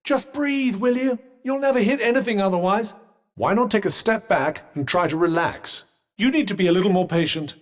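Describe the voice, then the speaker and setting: An actor voicing a snooty, sardonic talking magical cuff in a video game, with a refined British accent and a dry, pompous manner. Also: male voice, sits high, moderate pace, close to an everyday voice. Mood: brisk advice. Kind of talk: game companion combat barks. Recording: long hall-like reverb.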